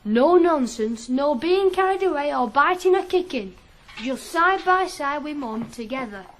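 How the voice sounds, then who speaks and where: A man's voice: extremely high pitch, lively intonation, a little slow. A man acting a stubborn, earnest young ploughboy in a radio drama. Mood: earnest, hopeful. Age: young adult. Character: stubborn young ploughboy, devoted to his horses. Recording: noisy old radio broadcast.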